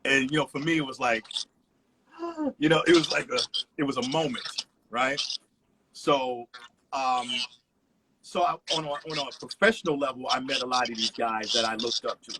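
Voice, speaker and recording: A man's voice: mid-range voice, fairly even intonation, moderate pace. Laid-back man with noisy livestream audio. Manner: laid-back, rambling, many "you know" fillers. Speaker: resident DJ guest. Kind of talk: casual storytelling interview. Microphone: video call.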